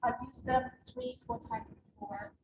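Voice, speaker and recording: A woman's voice: sits high, big pitch swings, unhurried, vocal fry. A woman whose first language is Russian, talking as an exhibiting artist about her installation in a hesitant, reflective way with frequent small laughs. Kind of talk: gallery artist talk. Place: gallery exhibition space. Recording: echoey gallery with faint room noise.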